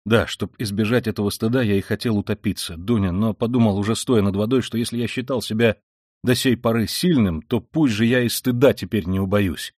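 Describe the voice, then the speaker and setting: A man reading an audiobook voices a tormented, proud and feverish young man who speaks quietly and wearily and flares into shouting rage. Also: lowish voice, brisk, no room sound, clean studio sound, close to an everyday voice. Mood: weary, bitter. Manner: quiet and weary, then bursts into shouting rage. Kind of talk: audiobook reading of a classic novel.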